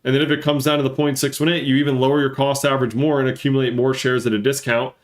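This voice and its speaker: A man's voice: medium pitch, flat delivery, fast. A man with a congested, stuffy-nosed voice, explaining things casually as a live-stream market analyst and repeating points for emphasis. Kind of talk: casual live chart commentary and trading lesson.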